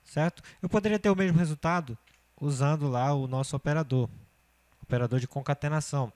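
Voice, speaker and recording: A man's voice: a little high, a little fast. Man in his thirties teaching spreadsheets. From Brazil. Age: 30s. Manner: steady step-by-step explaining, often checking with "certo?". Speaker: computer course teacher. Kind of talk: screencast spreadsheet tutorial.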